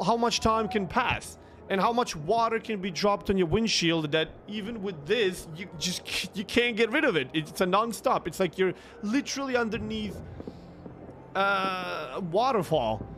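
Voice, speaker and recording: High-pitched young Croatian man telling stories. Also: lively intonation, a little slow, a bit bright, a little hiss or hum. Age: young adult. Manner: emphatic, sweary, repeats words for emphasis. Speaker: streamer. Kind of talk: casual storytelling to a livestream chat. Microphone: close desktop microphone with headset.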